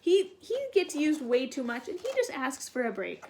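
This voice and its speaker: A woman's voice: high-pitched, very animated, normal speed, noisy background. A woman reading a storybook aloud for children, adding simple explanations as she goes. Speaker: storybook reader.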